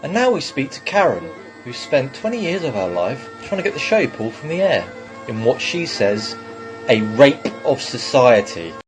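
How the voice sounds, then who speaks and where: Earnest male TV interviewer, acted by a man: lively news magazine delivery with music clearly behind, parody sketch. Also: medium pitch, normal speed, natural voice. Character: earnest television interviewer presenting a guest.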